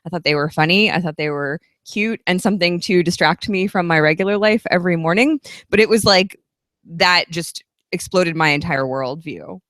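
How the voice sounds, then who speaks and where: A woman's voice: fairly low pitch, normal speed, fairly bright tone, no room sound, studio quality. A woman in her thirties, a guest author at a live event, giving long, chatty, earnest answers. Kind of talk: casual interview at a live event.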